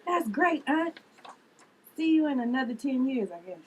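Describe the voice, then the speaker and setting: A woman's voice: high-pitched, lively intonation, normal speed, dull audio, slight background noise. A woman reading the part of a blunt, sardonic sister with a dry, dismissive manner in a family drama table read.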